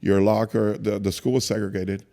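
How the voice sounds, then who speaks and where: A man in his fifties from Cuba, a church staff guest telling his story in a casual interview, soft-spoken and halting with many restarts. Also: fairly low pitch, level tone.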